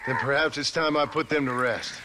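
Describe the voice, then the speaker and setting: An actor playing a rugged, stoic frontier outlaw with an American accent, dry and terse, in a video game cutscene. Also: male voice, mid-range voice, steady tone, a little fast, noisy background, natural voice. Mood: concerned.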